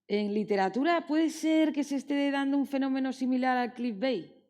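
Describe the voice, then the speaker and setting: A woman's voice: high-pitched, moderate pace, darkish tone. A woman with an accent from Spain moderating a live panel in an informal, conversational way, explaining with examples. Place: indoor public event hall.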